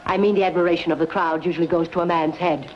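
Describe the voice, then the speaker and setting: A woman playing a shrewd, insinuating Roman noblewoman in a dubbed sword-and-sandal film, speaking in a measured, pointed way. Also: fairly low pitch, steady tone, dull audio, slight background noise, close to an everyday voice. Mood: insinuating, cautioning.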